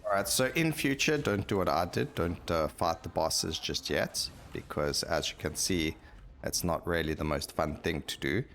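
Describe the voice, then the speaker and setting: A man's voice: deep voice, normal speed, a bit bright, a little hiss or hum. A man giving casual video game commentary, thinking aloud and explaining his choices as he plays. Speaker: gaming YouTuber.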